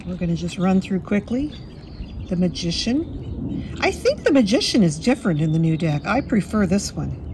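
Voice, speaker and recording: A woman with a slightly hoarse voice from a cold, chatting fondly through a walkthrough of a card deck at her table. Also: on the low side, very animated, moderate pace, background noise. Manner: fond, chatty, admiring. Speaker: tarot deck reviewer. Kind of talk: casual walkthrough. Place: indoors at a table.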